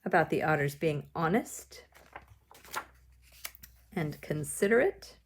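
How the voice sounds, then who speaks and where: A woman leading a drawing lesson, explaining each step simply and with gentle encouragement, as if to children. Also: low-pitched, lively intonation, slow, slight background noise. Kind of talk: instructional demonstration, step-by-step.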